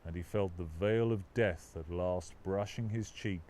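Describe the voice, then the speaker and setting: A man reading his own novel aloud as scripted audiobook narration, with a dramatic, expressive literary delivery. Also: low voice, unhurried, a little hiss or hum.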